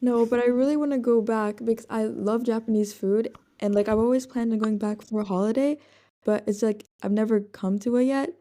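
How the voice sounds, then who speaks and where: Young woman, late teens, from the netherlands, shy and hesitant, slightly high mellow voice, laptop mic. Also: fairly even intonation, a little fast. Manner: shy, hesitant, lots of ums. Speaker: guest singer-songwriter. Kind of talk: interview answers. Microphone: laptop built-in mic.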